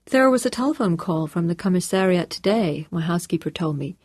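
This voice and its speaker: A woman reading the lines of a calm, guarded man, keeping a light and unworried tone. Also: lowish voice, brisk, no echo, clean studio sound.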